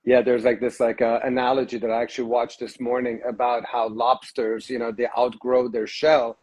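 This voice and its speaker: A man's voice: medium pitch, level tone, normal speed, a little mellow, slightly rough. A man hosting a livestream interview, talking casually and loosely with many fillers. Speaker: host. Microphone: phone livestream.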